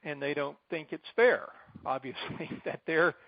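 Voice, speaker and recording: Gravelly American man on a dull call line. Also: fairly high pitch, lively intonation, no echo. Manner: deliberate, halting phrasing with emphatic repetition. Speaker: advocacy group president addressing supporters. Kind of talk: informal briefing on a conference call. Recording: dull, band-limited conference call line.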